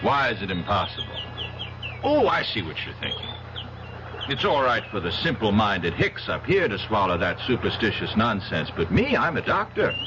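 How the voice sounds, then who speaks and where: An actor playing a sardonic, insistent doctor, speaking emphatically in a radio drama. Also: male voice, lowish voice, sing-song, moderate pace. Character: sardonic, insistent male doctor who believes superstition. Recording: noisy, dull old radio recording.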